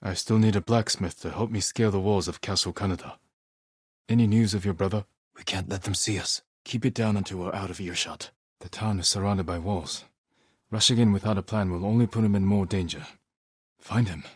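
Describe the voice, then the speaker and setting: An actor playing a grave, honor-bound young samurai lord in a video game, speaking in a measured, restrained way with short, solemn sentences. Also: male voice, deep voice, steady tone, slightly rough, no room sound, studio quality. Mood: urgent, reassuring.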